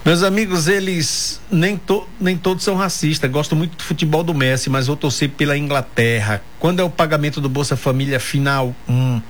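A man's voice: on the high side, a little fast, few pauses. A man hosting a casual radio talk show, joking with his co-host and reading news items aloud.

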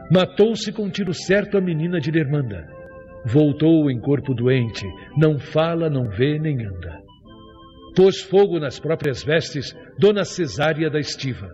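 A man's voice: fairly high pitch, a little mellow, in a large hall, muffled recording. Solemn man reciting verses over music. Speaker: radio announcer.

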